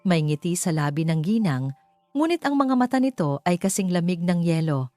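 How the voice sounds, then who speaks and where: Low-pitched Filipino woman narrating over music. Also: big pitch swings, moderate pace, warm tone, dry recording. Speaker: storyteller, narrator. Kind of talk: scripted dramatic narration of a romance story. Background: background music.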